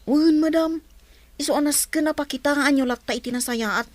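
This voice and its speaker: A woman's voice: high voice, normal speed, no echo, lightly acted. A woman acting a loyal, tattling young household helper in an Ilocano radio drama, eager and confiding. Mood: confiding, concerned.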